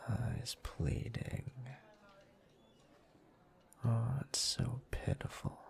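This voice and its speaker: A man playing a teasing, dominant bully turned seductive lover in an intimate whispered roleplay audio, murmuring in a slow, smug, breathy way. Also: mid-range voice, exaggerated voice. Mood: mocking, aroused.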